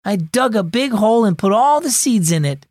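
A man's voice: high-pitched, lively intonation, normal speed, darkish tone, dry recording, clean studio sound, voice pushed up. A man voicing a small, stubborn little sister who answers back defiantly, in a children's storybook reading. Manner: defiant, matter-of-fact.

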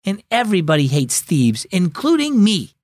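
A man voicing an upset schoolgirl who shouts accusations and then sulks, reading a children's storybook aloud. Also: high voice, wildly swooping pitch, normal speed, no echo, studio quality. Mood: angry. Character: upset schoolgirl, quick to anger.

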